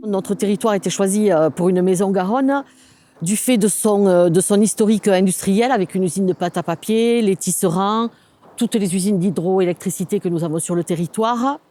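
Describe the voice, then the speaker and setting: A woman with a soft-edged, mellow voice at a middle pitch explains a local project as an official in an interview. She searches for her words as she goes, pausing and repeating short phrases. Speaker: local government sustainable development officer.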